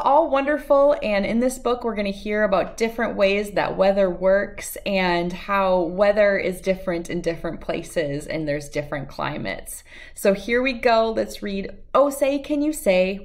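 A middle-aged woman with an American accent talks as if to young listeners. Her pitch sits in the middle for a woman, and she phrases things clearly and expressively, as a children's read-aloud host. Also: a little mellow. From United States, lived in Wisconsin. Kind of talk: storybook read-aloud with casual introduction.

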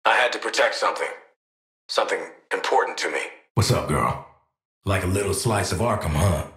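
A man's voice: deep voice, expressive, brisk, a bit bright, roomy sound. An actor with an American accent playing a cocky, world-weary assassin antihero in a video game, wry, blunt and streetwise in his delivery. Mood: earnest, then cocky, needling. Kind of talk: scripted video game voice lines.

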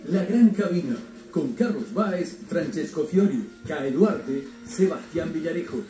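A man's voice: on the high side, lively intonation, measured pace, warm tone, roomy sound, faint music behind, rough recording. A man voicing a scripted station promo with punchy, emphatic phrasing.

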